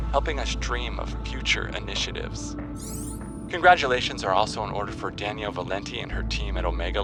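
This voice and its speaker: An actor playing a smug corporate manager in a game audio log, speaking polished, upbeat management-speak. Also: male voice, fairly low pitch, creaky. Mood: upbeat, official. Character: smug corporate manager man at a research outpost. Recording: music under the voice.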